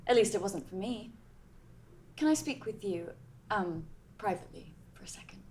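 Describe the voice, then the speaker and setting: A woman's voice: medium pitch, expressive, moderate pace, darkish tone, a little hiss or hum, close to an everyday voice. A woman reading the part of a smug, competitive teenage witch girl in a haughty, condescending tone.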